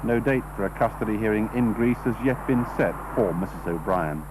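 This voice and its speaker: A man's voice: fairly low pitch, fairly quick. A man reading a scripted television news report as a reporter. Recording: noisy old TV broadcast, steady hum.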